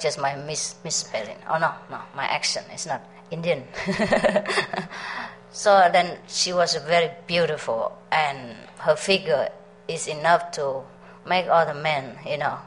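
Woman, middle-aged, lowish voice, chatty storyteller, faint background hiss. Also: poor audio. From Vietnam. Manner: chatty, laughs often, asks the audience questions. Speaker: spiritual teacher. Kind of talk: storytelling talk to a meditation group.

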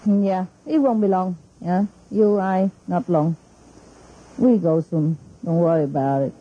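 A woman's voice: on the low side, a little slow, husky, dull audio. A middle-aged woman with a Vietnamese accent, a spiritual teacher giving an informal, chatty talk to her disciples, laughing often between her phrases.

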